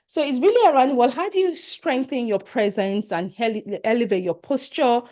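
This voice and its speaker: Casting: middle-aged Nigerian-accented woman, leadership coach, mellow tone, earnest and encouraging, webinar over a laptop mic. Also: a little high, moderate pace. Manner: earnest and encouraging, addressing attendees directly. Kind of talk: online workshop presentation.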